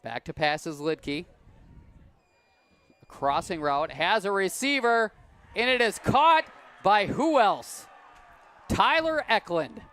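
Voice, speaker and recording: A man doing play-by-play for a high school football game, calm and unhurried, his voice a bit worn and cracking. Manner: calm, unhurried play calling with easy laughs. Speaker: play-by-play announcer.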